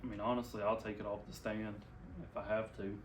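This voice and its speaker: A quiet-voiced man co-hosting a podcast in casual chat, sitting back from his mic. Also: fairly low pitch, flat delivery, brisk. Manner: soft-spoken, quiet voice. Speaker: podcast co-host. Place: room at a high table. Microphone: desk mic a foot away. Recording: roomy, distant mic, faint hiss.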